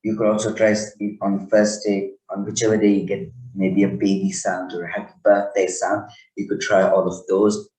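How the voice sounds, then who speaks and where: Low-voiced man explaining software. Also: flat delivery, normal speed, low-quality recording. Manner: loose, rambling explanation with self-corrections. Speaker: tech channel host. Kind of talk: casual screen tutorial.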